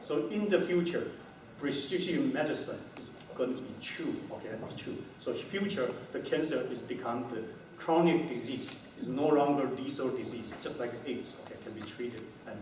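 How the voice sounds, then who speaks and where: A man's voice: medium pitch, darkish tone. Man speaking in an echoey room. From Taiwan. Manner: halting, many fillers and restarts. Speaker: company founder giving a talk. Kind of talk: conference talk to a small audience. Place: hotel meeting room, indoors. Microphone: far camera, no handheld mic. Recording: echoey room from far off, noisy.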